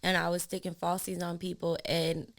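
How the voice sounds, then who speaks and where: A young woman, a lash trainer hosting a casual podcast, talking in an animated, slangy, encouraging way. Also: on the low side, normal speed.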